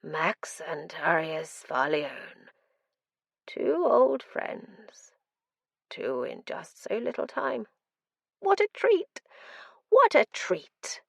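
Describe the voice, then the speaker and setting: A man's voice: high voice, huge pitch swings, extremely slow, vocal fry, long pauses, dry recording, studio quality, exaggerated voice. A woman doing a manic grinning madman. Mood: gleeful. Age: 40s. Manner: manic, gleeful, swings to whispers. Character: mad grinning male prisoner, scarred face, gleeful.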